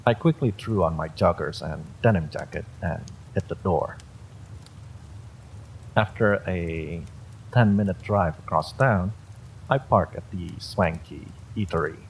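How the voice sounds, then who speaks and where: Guy reading a creepy story, real deep and slow, bit of noise behind him. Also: vocal fry, rough recording. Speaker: story reader. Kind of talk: dramatic first-person horror story narration.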